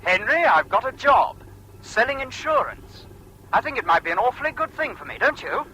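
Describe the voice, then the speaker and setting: An actor in a radio drama playing an excitable, hopeful young Englishman seeking approval, heard as a telephone voice. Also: extremely high pitch, moderate pace, a little hiss or hum, exaggerated voice, poor audio. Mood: eager. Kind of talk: British radio drama acting.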